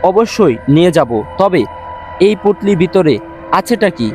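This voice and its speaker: A man's voice: high voice, big pitch swings, a little slow, dark tone. Man as a polite, helpful young villager. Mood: eager, polite. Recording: music behind the voice.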